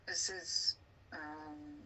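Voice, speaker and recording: A woman interviewer asking job interview questions in a halting way, searching for her words. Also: low voice, steady tone, sharp tone, slight background noise, low-quality recording.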